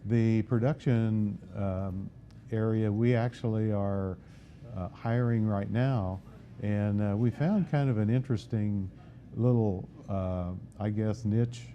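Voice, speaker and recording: Hesitant low-voiced man, dull recording. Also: fairly even intonation, takes it slowly, slight background noise. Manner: hesitant, many "uh" fillers, plainspoken. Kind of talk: casual interview chat.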